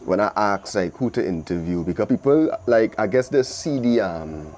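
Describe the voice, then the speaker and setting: Low, mellow Trinidadian male interview host. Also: animated, normal speed, a little hiss or hum. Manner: informal Caribbean slang, repeats short affirmations. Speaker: talk show host and interviewer. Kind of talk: casual conversational interview.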